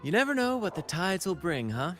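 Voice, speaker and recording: Brant, a swaggering stage performer. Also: male voice, high voice, very animated, a little fast, a bit bright. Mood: charming. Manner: flamboyant, grandiose. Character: swashbuckling theatrical male performer, charming and bold. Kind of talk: video game voice acting. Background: game music.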